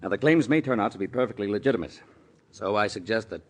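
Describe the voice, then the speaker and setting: An actor playing a polished but uneasy male executive who hedges with many pauses, in 1940s radio acting style. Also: on the low side, lively intonation. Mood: guarded. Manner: smooth, hesitant, with many uh's.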